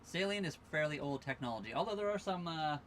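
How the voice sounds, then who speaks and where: A man's voice: on the high side, expressive, quick pace, fairly bright tone, a little hiss or hum. A tired young man casually chatting on a livestream from his sailboat at a marina, reading viewer questions aloud and thanking followers. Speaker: livestream host. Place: sailboat cabin at a marina dock.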